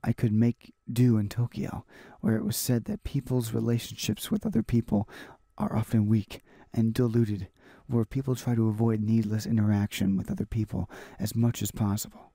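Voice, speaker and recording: Gravelly, husky man reading a story aloud. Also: lowish voice, dark tone, dry recording, clean studio sound. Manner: halting read-aloud delivery with stumbles and repeated phrases. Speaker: gaming video host. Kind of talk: reading a visual novel aloud for a let's play.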